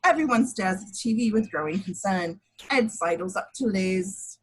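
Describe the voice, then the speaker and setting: A woman's voice: mid-range voice, lively intonation, moderate pace, slightly rough, low-quality recording. A woman reading screenplay action lines as the narrator of a table read. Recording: home recording.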